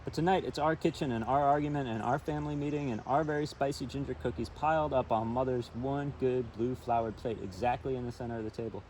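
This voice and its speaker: A man reads a storybook aloud in a chatty, informal way, drifting easily between the text and his own remarks. His pitch is ordinary for a man. He is outdoors and a little way from the microphone, with clear outdoor and traffic noise around him. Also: fast talker, few pauses. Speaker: storybook reader. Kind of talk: informal read-aloud with personal asides. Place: outdoors by a river. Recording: distant outdoor mic with steady background noise.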